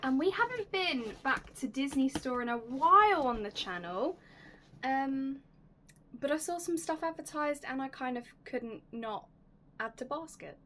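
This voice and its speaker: A young woman hosting a casual unboxing vlog, chatty and enthusiastic, her voice slightly raspy and going hoarse. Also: sits high, animated, a little slow, a little hiss or hum. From United Kingdom. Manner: chatty, enthusiastic, drops to soft whispers at times. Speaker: YouTube host.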